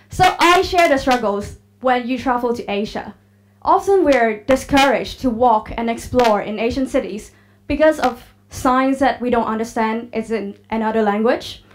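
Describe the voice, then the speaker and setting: A young woman gives an upbeat pitch presentation on a conference stage, putting questions to the audience through a handheld microphone. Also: a little hiss or hum, low-quality recording. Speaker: startup presenter. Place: large conference hall on stage.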